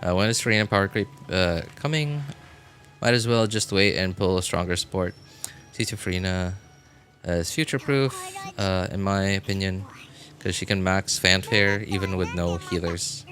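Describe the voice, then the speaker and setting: A man's voice: low-pitched, normal speed, a bit bright, slight background noise. A man doing casual live game commentary for his viewers, rambling and repeating himself as he thinks aloud. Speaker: game livestreamer.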